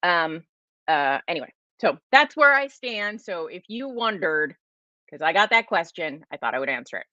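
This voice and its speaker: A woman in her forties hosts a casual livestream Q&A, chatty and emphatic, with a lot of fillers and restarts. Her voice sits slightly low but sounds bright and forceful. The sound is dry and a little dull, like a home mic. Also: very animated, normal speed, no room sound. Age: 40s. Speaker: host.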